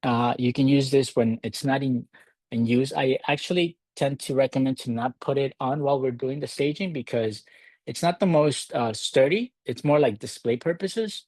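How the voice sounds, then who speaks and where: A man from Ecuador leads a live online workshop from home, speaking over a video call in an easygoing, halting manner with plenty of ums and uhs. His voice sits at an ordinary middle pitch for a man. The sound is dry and close, with no room echo. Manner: relaxed, halting, many fillers. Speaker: workshop host. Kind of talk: live virtual workshop demo. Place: home in a city. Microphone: computer mic on a video call.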